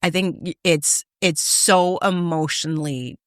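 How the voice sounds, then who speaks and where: A woman's voice: lowish voice, fairly even intonation, measured pace, no room sound, clean studio sound. A woman of about fifty talking candidly and casually as a podcast host, retelling things with quoted self-talk.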